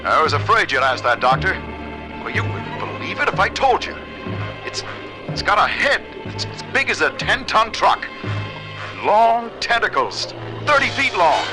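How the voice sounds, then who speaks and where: Ship crewman: brisk, wry, capable, high-set voice, animated delivery, classic cartoon adventure. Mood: alarmed. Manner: brisk radio-operator delivery. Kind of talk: vintage cartoon adventure voice acting. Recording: music playing behind the voice.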